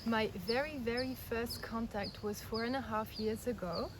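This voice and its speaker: A woman in her thirties from Belgium, raised in France, calmly explaining her views in an interview, earnest and step by step with frequent restarts. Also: fairly high pitch, normal speed. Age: 30s. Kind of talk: casual explanatory interview answers. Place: outdoors in India. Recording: outdoor recording with clear background noise.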